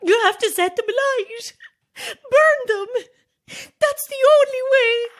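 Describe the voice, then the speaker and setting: An actress playing a frantic, obsessed mother in a horror audio drama, speaking in a halting, distraught, pleading way. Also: very high-pitched, lively intonation, exaggerated voice. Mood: frantic warning. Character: frantic, obsessed mother hunting a child-snatching witch.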